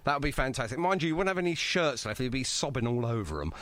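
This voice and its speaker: A man's voice: medium pitch, expressive, fast, crowd noise. A man hosting a radio interview in a chatty, jokey, effusive way. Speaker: radio show host. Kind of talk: casual, bantering radio interview.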